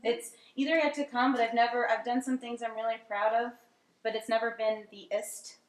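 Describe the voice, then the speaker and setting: A woman's voice: fairly high pitch, flat delivery, a little fast. A woman from Staten Island with a New York accent, sharing personal stories casually with laughs and many ums. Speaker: workshop participant. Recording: echoey room.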